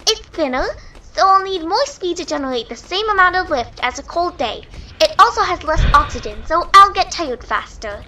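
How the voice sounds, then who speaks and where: A woman's voice: very high-pitched, lively intonation, moderate pace, sharp tone, a little hiss or hum, exaggerated voice. A woman voicing an eager young pegasus filly, an earnest and slightly hesitant pupil in a fan story reading. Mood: eager, concentrating. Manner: earnest, hesitant, reciting lessons. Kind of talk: animated fan fiction audio reading.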